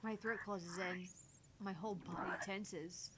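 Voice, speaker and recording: A woman narrates a visual novel in a theatrical first-person style. Her voice sits at a middle pitch, with deliberate phrasing. The recording has loud noise, hum and clicks behind her. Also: fairly even intonation. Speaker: streamer reading a visual novel aloud.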